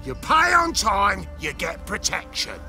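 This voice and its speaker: A menacing racketeer from a fantasy game. He talks slowly in a raised male voice with huge pitch swings, over clearly audible music. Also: sing-song, exaggerated voice. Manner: slow, threatening, loud. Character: menacing male street thug, protection racketeer. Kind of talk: video game cutscene acting. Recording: music behind the voice.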